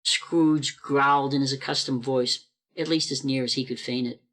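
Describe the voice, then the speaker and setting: Measured radio play narrator. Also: medium pitch, fairly even intonation, a bit bright. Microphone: video call.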